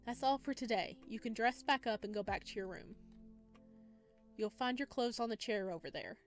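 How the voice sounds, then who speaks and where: A woman voicing a curt, businesslike hospital nurse in a visual novel, brisk and formal. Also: a little high, close to an everyday voice. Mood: brisk, impatient. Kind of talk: visual novel character reading. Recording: music behind the voice.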